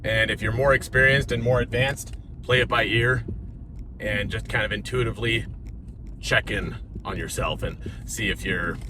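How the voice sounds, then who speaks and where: Rambling American fitness coach, traffic behind. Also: male voice, lowish voice, fairly even intonation, normal speed. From United States. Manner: rambling and jokey, stutters when thinking. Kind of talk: casual talking-head vlog. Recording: noisy with car sounds behind.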